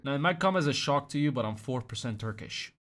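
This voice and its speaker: A young man gives comedic commentary, mocking his subject with sudden loud outbursts. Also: medium pitch, lively intonation, fast. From Iran. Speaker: commentary host.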